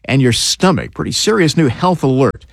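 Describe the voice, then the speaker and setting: Male radio news anchor, mid-pitched and animated, conversational delivery, close dry sound. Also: normal speed, vocal fry, dry recording. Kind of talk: morning radio news presenting.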